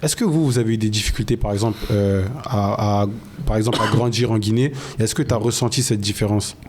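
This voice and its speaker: Male podcast host, casual and halting. Low, mellow voice, faint background noise. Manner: casual, hesitant, many fillers. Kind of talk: podcast interview.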